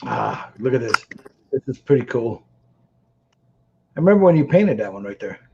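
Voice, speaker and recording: A man's voice: medium pitch, big pitch swings, normal speed. A man with a Chicago accent hosting a casual livestream, rambling with stammered restarts. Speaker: livestream host. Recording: muffled lo-fi stream audio with faint noise.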